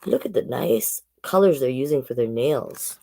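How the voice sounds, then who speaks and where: Woman storyteller with very lively pitch swings. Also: normal speed, creaky. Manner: simple, child-directed explaining with tag questions. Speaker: children's storytime host and reader. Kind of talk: picture-book read-aloud with chatty asides.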